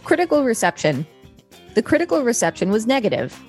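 A woman co-hosting a podcast, reading an article aloud in a steady, even way. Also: on the high side, brisk, faint music behind. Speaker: podcast co-host.

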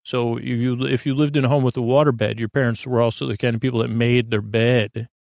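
A middle-aged man speaks in a gravelly, creaking voice of ordinary male pitch, recorded very dry. He delivers a meandering bedtime monologue as a podcast host. His sentences stall, restart and loop back on themselves. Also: steady tone, darkish tone, dry recording. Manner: halting, circling, self-interrupting delivery with false starts.

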